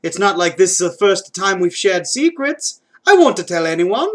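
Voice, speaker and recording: An excitable, warm-hearted game plumber, as a man acts him out with an Italian accent. The voice sits high and swoops around a lot, leaning hard on drawn-out words. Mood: coaxing. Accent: put-on Italian. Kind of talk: amateur dramatic fan fiction reading.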